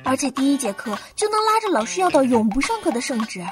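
A woman playing a lively young female law student, easily flustered, in a Chinese campus radio drama. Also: high voice, quick pace, loud music behind the voice. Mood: playful exasperation.

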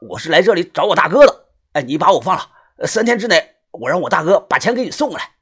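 A man voicing a panicked, foul-mouthed middle-aged fugitive with a Northeastern accent who stammers, pleads and shouts. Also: sits high, very animated, fast talker, husky, pitched above the performer's own voice, heavily acted. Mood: stammering, wheedling. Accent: Northeastern Chinese.

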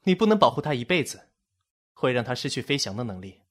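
一名男配音演员扮演一位业余心理复健师，说话冷静，带点调侃。. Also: male voice, medium pitch, very animated, fast talker, fairly bright tone. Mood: composed. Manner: dry, teasing, composed. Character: wry young man, amateur therapist, calm and sly. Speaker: psychological rehab counselor.

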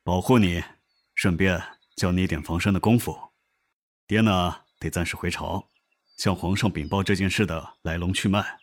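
A man playing a calm, protective middle-aged royal father in an amateur Chinese costume audio drama, measured and reassuring. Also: low voice.

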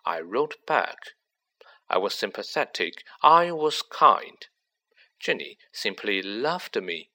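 A man voicing a cold, manipulative teenage boy sorcerer who talks softly and gloats. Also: lowish voice, big pitch swings, slow pace, full character voice. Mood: gloating, silky. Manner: silky, soft and gloating.

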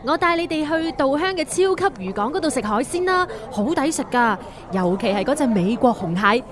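A woman's voice: high voice, very animated, moderate pace. An actress playing a plucky, sarcastic young woman in a radio drama, speaking with a Hong Kong Cantonese accent. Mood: easygoing. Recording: music bed under the dialogue.

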